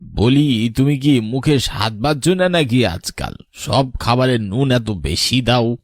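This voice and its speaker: A man's voice: mid-range voice, animated, slow, dry recording, studio quality. A man voicing a weary, reproachful middle-aged husband in a cartoon drama. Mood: scolding. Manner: scolding, weary, moralising. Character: reproachful older husband, grieving father-in-law. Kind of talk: animated horror story drama dialogue.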